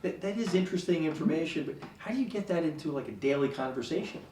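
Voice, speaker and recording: Male actor as group therapist: placating, over-encouraging, hesitant, highish voice, distant mic, comedic sketch. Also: animated, quick pace, darkish tone, close to an everyday voice. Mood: coaxing. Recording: echoey room, distant mic, faint noise.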